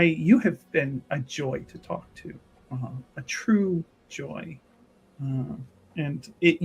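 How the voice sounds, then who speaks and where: A tabletop player voicing a gracious, curious religious sister who speaks formally and haltingly, repeating effusive phrases. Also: mid-range voice, husky. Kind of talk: improvised tabletop roleplay.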